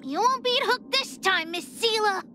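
Very high, bubbly little girl. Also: expressive, normal speed. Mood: excited, cheeky. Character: excitable, cheeky young girls from an anime fantasy game. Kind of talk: anime game voice acting. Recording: music behind the voice.

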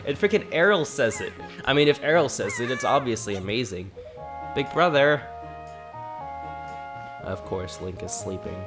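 Young guy with a cold chatting over a game, rambly, game music loud behind him. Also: mid-range voice, lively intonation, moderate pace, long pauses. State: has a cold. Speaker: let's play host. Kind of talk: casual gameplay commentary.

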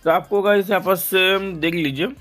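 A man's voice: high voice, fairly even intonation, moderate pace, fairly bright tone. A man hosting a casual step-by-step phone tutorial, addressing viewers directly and repeating his instructions. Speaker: tech tutorial host. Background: background music.

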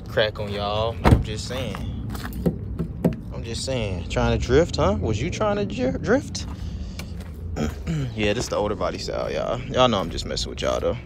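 A man's voice: on the low side, huge pitch swings, unhurried, long pauses. Man, car vlogger, joking and chatty, phone audio with lot noise outdoors. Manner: teasing, chatty, addresses viewers as y'all. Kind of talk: casual walkaround commentary. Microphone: handheld phone camera.

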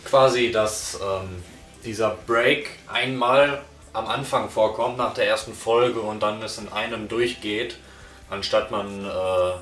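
Calm young guy on a sofa with a lowish voice, picked up from across the room. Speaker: podcast reviewer. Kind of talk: casual review chat. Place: living room, sitting on a sofa. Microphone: far camera. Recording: roomy, far from the mic, faint music.

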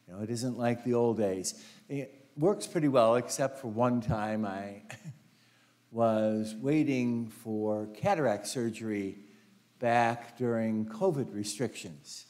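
A man's voice: mid-range voice, takes it slowly, a little mellow. An older man, a priest, giving a conversational homily in a large church, full of anecdotes, often saying "you know" and laughing. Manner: chatty storytelling, frequent "you know", laughs easily. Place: large carpeted church sanctuary. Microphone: lapel mic, walking in front of altar.

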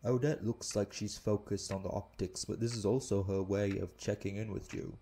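A man playing a calm, protective orc head of security, speaking in a measured, reassuring way in an audio roleplay. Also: fairly low pitch, heavy background noise, lightly acted. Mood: calm, knowing. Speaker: voice actor. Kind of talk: intimate audio roleplay acting.

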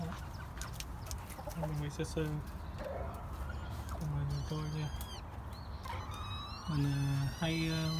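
A man casually narrating a tour of his hillside poultry coop, relaxed and unhurried, with frequent hesitations. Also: a little high. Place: outdoor chicken and pigeon coop on a hillside. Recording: loud outdoor farmyard noise. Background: chickens clucking, roosters, pigeons.

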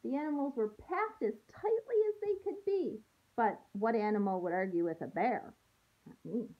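A woman's voice: a little high, animated, moderate pace, highs cut off. A young adult woman reading a picture book aloud to children, expressively, with simple questions for her listeners, recorded indoors at home. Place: small room at home, indoors.

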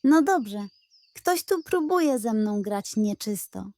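A woman playing a suspicious, quietly cunning housewife who talks like a detective in a children's audio tale. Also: on the high side, lively intonation, slow pace, dry recording. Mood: determined. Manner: muttering, shrewd.

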